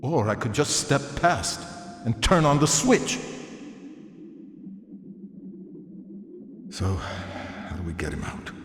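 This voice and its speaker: Lively, pragmatic game companion in echo. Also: male voice, medium pitch, a little slow. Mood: urgent, insistent. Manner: matter-of-fact, insistent. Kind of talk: video game cutscene acting. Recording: echoey hall, faint ambient noise.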